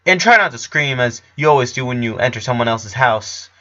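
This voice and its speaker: A man voicing an excitable young boy, an eager and fussy best friend in a fan story reading, full of questions. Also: medium pitch, fairly quick, lo-fi sound.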